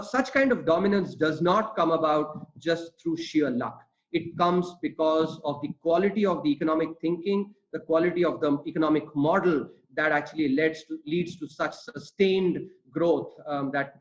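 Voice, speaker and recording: Tamil-speaking guy lecturing on a webinar, kinda high voice, lots of uh you know, dull call audio. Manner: earnest, lecturing, frequent fillers and restarts. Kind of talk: webinar talk.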